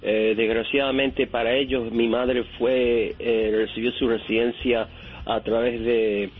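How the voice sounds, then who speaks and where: A man from New York calling in to a radio show, telling his story haltingly with many fillers and restarts. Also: medium pitch, little pitch movement, slight background noise. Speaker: caller. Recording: tinny phone line.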